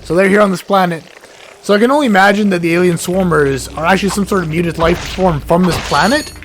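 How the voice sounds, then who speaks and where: A hoarse man, sick, giving rambling casual commentary while playing a game, often stuttering and correcting himself. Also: sits high, fast talker, background noise. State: hoarse from illness. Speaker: let's play gamer. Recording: game music underneath.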